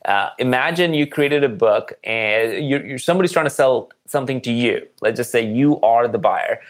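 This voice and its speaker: Guy on a podcast explaining marketing stuff, mid-pitched voice, emphatic, repeats words to hammer the point. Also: expressive, normal speed. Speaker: podcast guest, marketing expert. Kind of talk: conversational business advice, explaining with examples.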